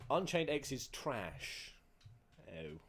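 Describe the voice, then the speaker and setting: A man giving casual, playful and animated reaction commentary as a gaming YouTuber, with some crude humour. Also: medium pitch, very slow, a little hiss or hum.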